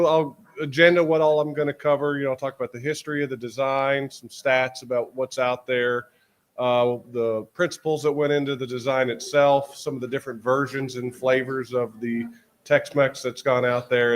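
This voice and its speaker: A man's voice: medium pitch, fairly even intonation, moderate pace. A man from Texas giving a casual talk at a convention, with lots of fillers and restarts. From Texas, USA. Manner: chatty, many fillers and restarts. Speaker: convention speaker. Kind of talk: casual conference presentation. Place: convention hall stage.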